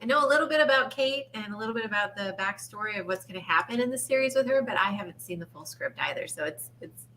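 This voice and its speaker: A woman's voice: medium pitch, expressive, fast, sharp tone, a little hiss or hum, rough recording. A woman chatting casually as one of the actors in a table read. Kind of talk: casual chat.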